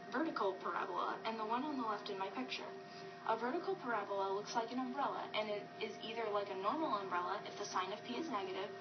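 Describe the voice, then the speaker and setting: A woman's voice: medium pitch, dull audio. A young woman giving a scripted math lesson as a student presenter. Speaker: student presenter in a puppet show. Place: classroom. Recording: distant classroom camera.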